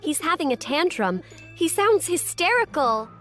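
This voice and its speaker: A man voicing a bossy, quick-tempered young girl who shouts commands in a storybook reading. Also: extremely high pitch, sing-song, faint music behind, pitched above the performer's own voice, exaggerated voice. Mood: alarmed.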